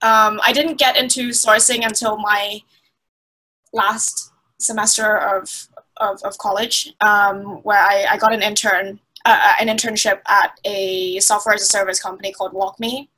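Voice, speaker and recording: Bright-voiced young woman from Thailand. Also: mid-range voice, flat delivery, measured pace, poor audio. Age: 20s. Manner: many fillers and restarts, thoughtful. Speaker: guest. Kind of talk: conversational interview answers. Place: small indoor room. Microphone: laptop webcam on a video call.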